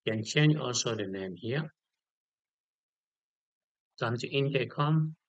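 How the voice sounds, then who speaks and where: A man giving an unscripted step-by-step software tutorial, repeating key details and often checking in with a short okay. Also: mid-range voice, expressive, slow, no room sound, muffled recording. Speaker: tutorial instructor.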